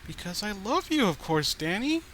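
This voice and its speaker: A man's voice: high-pitched, sing-song, normal speed, a bit bright, pitched above the performer's own voice, full character voice. A man voicing an unhinged, possessive girlfriend who is volatile, pleading and cloying, in a horror story reading. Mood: sweetly possessive. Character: unhinged clingy murderous girlfriend, possessive, swinging from rage to sweetness. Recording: noticeable background noise.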